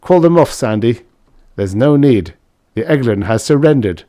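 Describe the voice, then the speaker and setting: A firm space-navy skipper acted by a man reading a story, giving clipped, authoritative lines. The pitch is lifted and the sound is dry and close. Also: lively intonation, moderate pace, warm tone, no room sound, natural voice. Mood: commanding. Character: firm male warship skipper, commanding, secretly soft-hearted.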